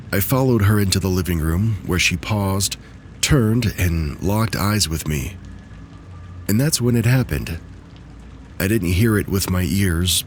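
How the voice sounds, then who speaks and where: A man narrating submitted true spooky stories in a measured, scripted way. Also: low voice, a little hiss or hum.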